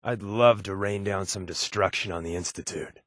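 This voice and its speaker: A man's voice: low voice, moderate pace, no echo, studio quality, lightly acted. A male actor playing a sly, sardonic undercover rebel spy in a video game, tossing out glib, casual quips. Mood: wry. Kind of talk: video game companion dialogue.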